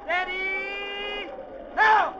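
An irascible old sea captain in his seventies, domineering and gruff. He barks and grumbles at his crew in classic radio drama style. The recording is muffled and very noisy. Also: very high voice, little pitch movement, extremely slow, shouting, a crowd in the background, heavily acted. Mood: shouting orders. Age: 70s. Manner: barking orders, irascible, grumbling.

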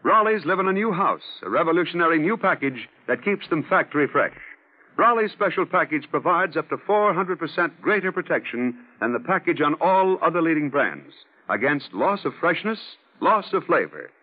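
A man's voice: on the high side, big pitch swings, moderate pace. A man announcing in a scripted 1940s radio sponsor style. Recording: thin telephone-like old radio broadcast. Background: music.

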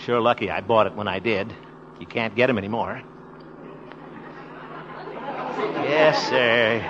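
An actor playing an excitable, boastful but good-natured grown son in a 1950s radio sitcom, hammy and blustery. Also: male voice, on the low side, normal speed, over a noisy crowd, very noisy, close to an everyday voice. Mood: exasperated, grumbling. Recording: dull old radio recording.

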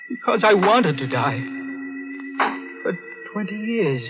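An actor playing a tormented murderer in a melodramatic, intense 1940s radio style. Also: male voice, fairly high pitch. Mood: despairing, haunted. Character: tormented, confessing murderer, lover turned killer. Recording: dull old radio recording, music behind.

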